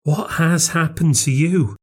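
Man acting a fretful, high-pitched mum. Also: takes it slowly, husky. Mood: anxious. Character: concerned, firm mother of a young family. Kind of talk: audiobook character voice.

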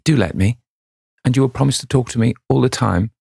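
A man narrating plays an eager young man from a classic novel. The voice rises above the reader's usual low pitch, earnest, admiring and pleading. The sound is dry and close. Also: a little fast, gravelly, a little mellow, breathy edge, no echo. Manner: murmuring, earnest.

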